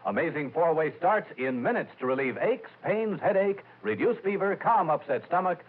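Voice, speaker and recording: A man reading a 1950s radio commercial in a brisk listing pitch. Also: expressive, a little hiss or hum. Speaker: ad announcer. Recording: tinny old radio recording.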